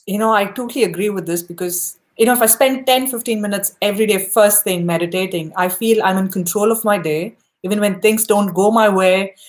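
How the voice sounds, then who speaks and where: A woman's voice: mid-range voice, a little fast, few pauses. A woman hosting a live podcast, chatting casually and encouragingly with her guest, often saying "you know". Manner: upbeat, encouraging, many "you know" fillers. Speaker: podcast host. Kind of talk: casual interview chat.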